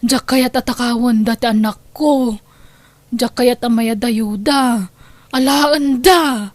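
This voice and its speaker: A woman's voice: a little high, fairly even intonation, slow, dry recording. A woman with an Ilocano accent playing a fearful, distraught mother in an audio drama, insistent and plaintive. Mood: fearful, stubborn. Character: distraught, fearful young mother clinging to her children.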